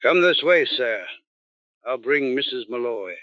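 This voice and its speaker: An actor playing a formal, courteous butler in an old-time radio drama, proper and deferential. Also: male voice, medium pitch, lively intonation, measured pace, sharp tone, no room sound, highs cut off.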